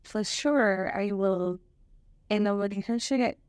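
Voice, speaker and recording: Young bajan woman, twenties, soft and halting, kinda unsure, dry close sound. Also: medium pitch, clean tone, no echo. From Barbados. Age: 20s. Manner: hesitant, soft-spoken, halting. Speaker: guest. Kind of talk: casual chat.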